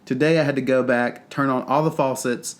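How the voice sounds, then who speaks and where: A man's voice: mid-range voice, steady tone, brisk. A man with a Southern US accent, congested and stuffy-nosed, chatting casually as a podcast co-host in a rambling way. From North Carolina, US. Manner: rambling, chatty, lots of fillers. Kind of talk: casual chat.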